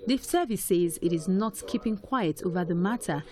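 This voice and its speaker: A woman reporter reading a news report in a measured, formal voice. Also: lowish voice, lively intonation, a little mellow.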